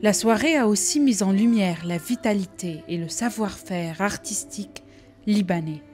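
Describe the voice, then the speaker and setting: A woman reporter reading a measured news voice-over. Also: mid-range voice, soft background music. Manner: even, measured narration.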